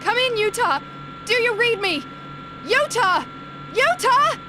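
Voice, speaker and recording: A woman playing a brisk, exasperated squad officer in a video game, urgent and commanding. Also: very high-pitched, sing-song, background noise, full character voice. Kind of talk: video game cutscene acting.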